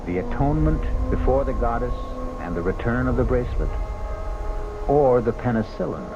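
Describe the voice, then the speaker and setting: An actor playing a sly, cryptic man in a radio drama, musing in a lively voice. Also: medium pitch, moderate pace, dull audio, background noise, lightly acted. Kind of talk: 1970s radio drama acting. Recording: old radio broadcast with music underneath.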